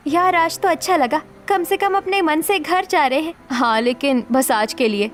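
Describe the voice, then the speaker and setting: A woman's voice: sits high, moderate pace, voice pushed up, close to an everyday voice. A woman voicing an aggrieved young adult daughter in a cartoon story, pleading and indignant. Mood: hesitant.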